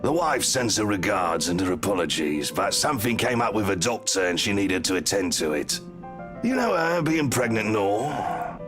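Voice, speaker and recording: A man playing a smug, flirtatious police chief inspector in a visual novel game, with a deep, suave, teasing delivery. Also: sing-song, normal speed, music in the background. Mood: casually teasing.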